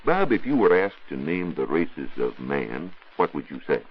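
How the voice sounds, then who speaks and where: Really low voiced american guy explaining science on 1940s radio, teacherly, animated intonation, muffled old recording. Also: husky. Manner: didactic, rhetorical, measured phrasing. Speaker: science reporter.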